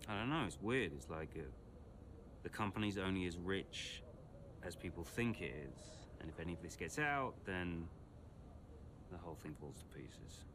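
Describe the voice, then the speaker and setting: Low-voiced, stressed British brother. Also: male voice, lively intonation, normal speed, noisy background. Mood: weary, worried. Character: stressed, flippant older brother burdened with family business. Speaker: actor in a game cutscene. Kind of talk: naturalistic dramatic dialogue.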